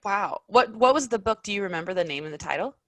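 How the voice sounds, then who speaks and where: Woman podcast co-host, chatty, slightly raspy early-morning voice, dry close mic. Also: mid-range voice, lively intonation, fast talker, fairly bright tone, no room sound. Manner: chatty, frequent laughs and affirmations. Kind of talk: casual interview hosting.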